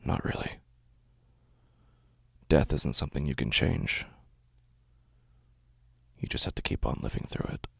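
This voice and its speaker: Man playing a gravelly, weary undead narrator. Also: rumbling low, no echo, clean studio sound, heavily acted. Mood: brooding, resigned. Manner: slow, halting, sombre, deliberate phrasing. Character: weary walking-dead man, numb, brooding, haunted narrator. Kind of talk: scripted horror audio drama monologue.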